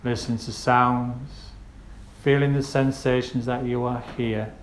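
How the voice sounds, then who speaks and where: A man leads a guided meditation, giving gentle, unhurried instructions with pauses between phrases. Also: mid-range voice, flat delivery. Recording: roomy echo with faint background noise.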